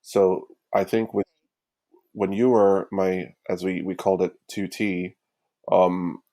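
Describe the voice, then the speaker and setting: A young man is a guest on a podcast. His voice is low in pitch, and he talks in a loose, casual, conversational way. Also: flat delivery, slow pace, warm tone. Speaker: podcast guest, student tutor. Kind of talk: casual chat.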